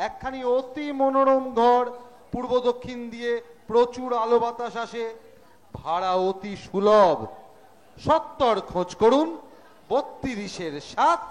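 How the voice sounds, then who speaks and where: A man performs a mischievous young nephew in an amateur stage drama. He is glib and teasing, unusually high in pitch. Sound carries with the reverb of a hall. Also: takes it slowly. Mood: showy, proud. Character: mischievous, cheeky nephew of about twenty-five. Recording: echoing school hall stage.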